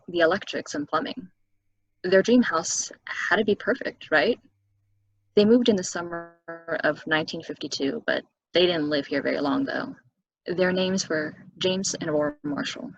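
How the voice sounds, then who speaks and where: A woman at a stage play table reading, playing a calm, solitary young local who tells things in an unhurried, matter-of-fact way. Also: fairly low pitch, vocal fry, no room sound, dull audio. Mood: storytelling.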